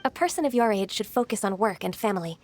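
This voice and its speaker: A woman playing a gentle, earnest young half-immortal in a video game, polite, measured and slightly hesitant. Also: mid-range voice, expressive, a little hiss or hum, lightly acted. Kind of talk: anime-style video game acting.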